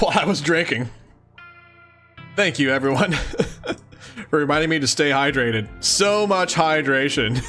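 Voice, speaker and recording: A playful, chatty man reading a webcomic aloud and commenting casually on a livestream, often giggly and thinking out loud. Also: fairly high pitch. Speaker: streamer.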